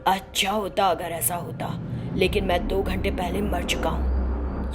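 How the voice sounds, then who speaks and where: A woman storyteller reading scripted story narration. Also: fairly high pitch. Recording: loud music behind voice.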